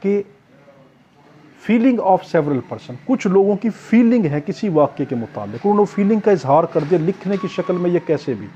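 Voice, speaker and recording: A man's voice: high-pitched, mellow, slightly rough, lo-fi sound. A Pakistani man giving an informal lecture as a tutor, explaining step by step in a didactic, repetitive way, often asking questions and answering them himself. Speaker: law tutor. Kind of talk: informal exam-prep lecture, explaining clause by clause.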